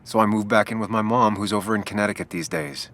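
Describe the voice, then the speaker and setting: A man's voice: lowish voice, fairly even intonation, fast, fairly bright tone, lightly acted. A man playing a guarded, wry ex-journalist in a video game, speaking in a subdued, dry way. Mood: guarded, sombre. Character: brooding ex-journalist man back in his hometown, guarded, wry. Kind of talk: naturalistic video game acting.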